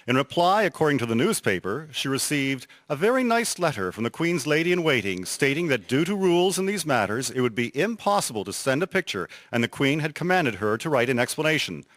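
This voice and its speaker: A man giving a scripted historical narration in a formal, measured reading style. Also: mid-range voice, fairly bright tone, dry recording. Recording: music behind voice. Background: plucked guitar music.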